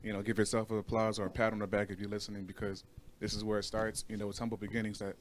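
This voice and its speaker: A man's voice: fairly low pitch, flat delivery, racing, slight background noise. A man chatting casually as a guest artist, slangy and often repeating his words. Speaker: musical artist, show guest. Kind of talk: casual chat.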